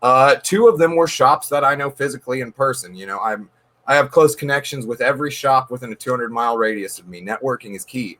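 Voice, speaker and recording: A man's voice: mid-range voice, a bit bright. A man, a card shop owner guest, talking earnestly and emphatically in a casual interview, often repeating words as he thinks. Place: dark room.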